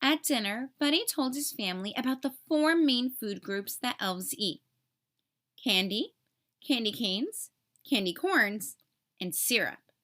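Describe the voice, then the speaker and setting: Bright-voiced woman reading a children's storybook. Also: fairly high pitch, a little slow. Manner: expressive, clearly phrased for children. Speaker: storybook reader. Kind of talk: scripted children's read-aloud narration.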